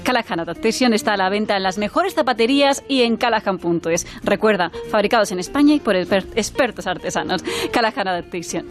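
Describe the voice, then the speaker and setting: Upbeat woman reading a radio ad. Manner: upbeat, laughing sales pitch. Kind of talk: live-read radio ad.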